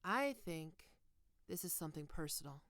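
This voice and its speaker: A woman playing a stern, teasing goth college professor in an ASMR roleplay, speaking deliberately and condescendingly into a close microphone. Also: sits low, animated, fairly bright tone, no room sound. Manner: condescending, taunting, deliberate with pauses. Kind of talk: ASMR roleplay, scripted monologue to listener.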